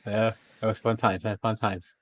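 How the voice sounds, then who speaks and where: A man chatting casually as a guest artist, relaxed and quick to laugh as he talks. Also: fairly low pitch, no echo. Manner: relaxed, laughs easily, quick back-channels. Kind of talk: casual chat on a stream.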